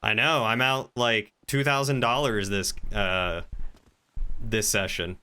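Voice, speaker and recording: A man streaming a roleplay game, chatting casually and talking in character in a wry, measured way. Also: on the low side, steady tone, pushed and bright, clean tone. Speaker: game streamer playing a crime boss.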